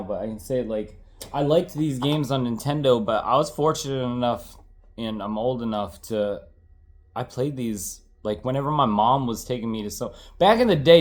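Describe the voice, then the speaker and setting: A man's voice: medium pitch, darkish tone. A man in his thirties, congested and tired, chatting casually and rambling as he shows off his old game collection, correcting himself and swearing now and then.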